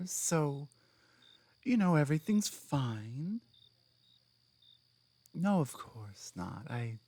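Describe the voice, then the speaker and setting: Female orc companion, played by a woman: proud, blunt laborer, unhurried, halting, earnest delivery for an intimate ASMR audio drama. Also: extremely low pitch, dark tone, no echo, exaggerated voice. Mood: earnest, awkward. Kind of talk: ASMR roleplay monologue.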